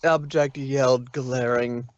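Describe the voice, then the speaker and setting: A man's voice: medium pitch, steady tone, unhurried, dull audio. A man narrating a fan fiction story on a live stream, adding casual asides. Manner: plain reading with asides. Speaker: reader, narrator.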